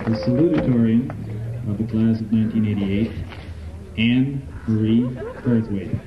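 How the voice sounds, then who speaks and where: A man formally announcing names at a ceremony, measured and deliberate, pausing between each one. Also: lowish voice. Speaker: announcer reading graduates' names. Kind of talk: formal ceremonial roll call. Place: outdoor sports field. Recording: echoey outdoor PA, dull noisy tape.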